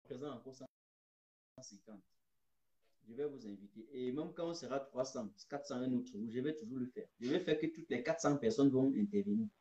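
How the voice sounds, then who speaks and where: A man's voice: medium pitch, a little fast, dark tone, highs cut off. A man from Cameroon, hosting a political live stream, emphatic and repeating his points straight to viewers. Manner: emphatic, repeats phrases, addresses viewers directly. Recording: roomy echo.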